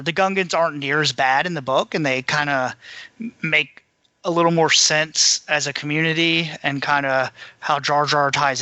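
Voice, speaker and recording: A man with a fairly high, very bright and forward voice chats as a podcast co-host. His talk is casual and conversational. The sound is dry, with little room in it. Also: no room sound. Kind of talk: casual discussion of books.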